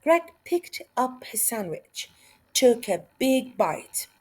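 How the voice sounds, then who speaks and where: A woman teacher reading a children's story aloud in a deliberate, expressive way, adding emphasis and short asides. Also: fairly high pitch. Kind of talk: read-aloud lesson for children.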